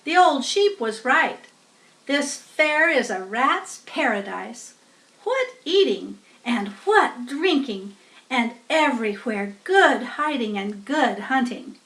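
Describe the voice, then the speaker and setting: A woman's voice: sits high, sing-song, slow pace, a bit bright, heavily acted. A woman voicing a sneering, boastful, self-indulgent male rat in a children's storybook. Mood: gleeful. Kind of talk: children's storybook read-aloud. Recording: roomy echo, distant from mic.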